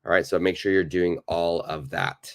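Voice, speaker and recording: A man with a very low voice, hoarse and raspy from a cold, teaches a live online English lesson. He greets viewers by name and repeats each grammar point patiently. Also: steady tone, moderate pace.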